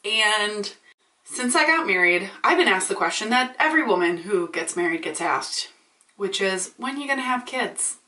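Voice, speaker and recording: A woman in her late twenties, vlogging in a chatty, animated, ranty way, swearing freely and a bit congested from allergies. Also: mid-range voice, normal speed. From Michigan. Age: 20s. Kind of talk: casual ranting vlog. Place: small indoor room. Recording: roomy echo, slightly distant.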